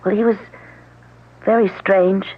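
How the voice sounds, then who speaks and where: An actress playing a recently widowed woman, hesitant and soft-spoken, in a 1970s radio drama. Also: mid-range voice, fairly even intonation, measured pace, close to an everyday voice. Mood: anxious. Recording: narrow-band old radio broadcast.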